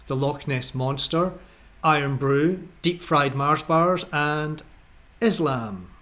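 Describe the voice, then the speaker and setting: A man's voice: a little high, fairly bright tone. A man with a Scottish accent delivering sarcastic, indignant political commentary as a channel host, in a mocking, ranting voice-over.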